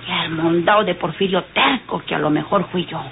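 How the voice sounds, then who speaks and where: Bitter, low-voiced woman, tinny recording. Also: normal speed, vocal fry, over music. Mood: bitter grumbling. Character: sly, bitter, resentful woman eavesdropper in a western. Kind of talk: old Mexican radio soap acting. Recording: tinny, noisy old radio recording.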